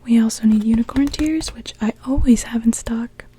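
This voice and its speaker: A young woman playing a kindly mermaid witch in a whispered ASMR roleplay, gently attending to a customer as she brews potions. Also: fairly high pitch, normal speed, a little hiss or hum, lightly acted. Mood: attentive. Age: young adult.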